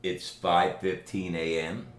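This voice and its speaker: A man's voice: low-pitched, level tone. An older man from New York City with a New York accent, recording a boastful, emphatic video message at home. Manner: boastful, emphatic, slow deliberate delivery. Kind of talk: direct-to-camera video message. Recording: echoey room with faint ambient noise.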